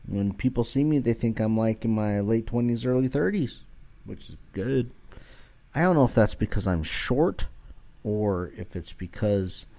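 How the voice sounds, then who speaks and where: A man's voice: lowish voice, expressive, a little slow, mellow, lo-fi sound. A man around forty rambling casually as a solo podcast host, irreverent, sweary and self-deprecating, recorded at home in his kitchen.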